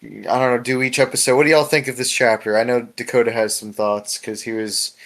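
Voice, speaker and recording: A man hosting a casual discussion podcast, speaking in an informal, halting way. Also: mid-range voice, fairly even intonation, gravelly, a bit bright. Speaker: host.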